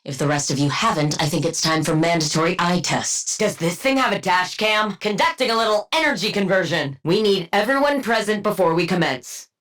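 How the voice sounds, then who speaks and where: A woman's voice: fairly low pitch, lively intonation, fairly quick, hardly any pauses, fairly bright tone. An actress playing a cool, sardonic female scientist supervillain in a video game, with precise diction and a clinical, condescending manner. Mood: dry, clinical. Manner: clinical, condescending, dryly witty. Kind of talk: video game combat barks.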